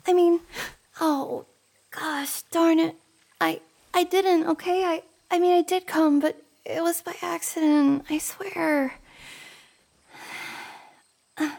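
A woman's voice: very high-pitched, steady tone, takes it slowly, creaky, pauses often, darkish tone, heavily acted. A woman playing a shy, stammering young elf. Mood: embarrassed. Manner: nervous, stammering, apologetic, many whispers and giggles. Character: shy, flustered young female elf traveler. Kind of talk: audio roleplay.

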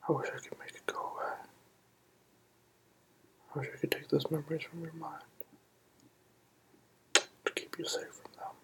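Super breathy whisper, soft comforting partner roleplay, keeps saying it's ok. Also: medium pitch, flat delivery, normal speed, pauses often. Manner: soft, soothing, repeats reassurances.

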